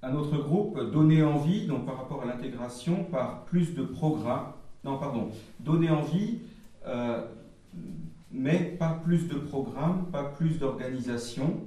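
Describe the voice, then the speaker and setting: Mellow-voiced man giving a halting summary to a church gathering, recorded far off in a very echoey hall. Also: mid-range voice. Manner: halting, with frequent pauses and restarts. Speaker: speaker summarizing group feedback at a church gathering. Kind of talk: public talk, reading out notes.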